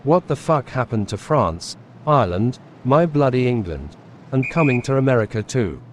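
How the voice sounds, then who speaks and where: Deadpan crude male comic narrator. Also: mid-range voice, moderate pace, slight background noise. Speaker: channel narrator. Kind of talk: crude comedic voice-over narration.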